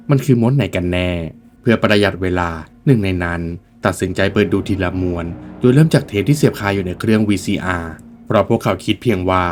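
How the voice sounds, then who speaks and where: Fast-talking man narrating in a low voice. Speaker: video essay narrator. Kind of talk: scripted film-commentary narration.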